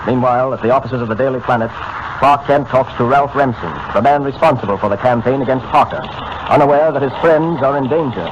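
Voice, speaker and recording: A man's voice: mid-range voice, fast talker, lightly acted. A man narrating a 1940s radio serial as an announcer, in a dramatic, emphatic manner. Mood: ominous. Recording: scratchy, muffled old radio recording.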